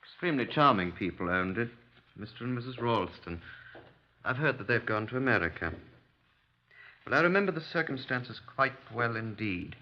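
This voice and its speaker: An actor playing an earnest, agitated gentleman witness in a 1950s radio drama, hesitant, wordy and apologetic. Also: medium pitch, expressive, normal speed, close to an everyday voice. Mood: recollecting. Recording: dull 1950s radio recording.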